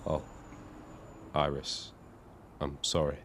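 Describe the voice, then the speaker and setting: An actor playing a lonely, nervous but kindly taxi driver in an audio drama, understated and hesitant with dry humour. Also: male voice, a bass voice, little pitch movement, slow pace, a bit bright, a little hiss or hum, full character voice. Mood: concerned, tender. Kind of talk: audio drama narration and dialogue.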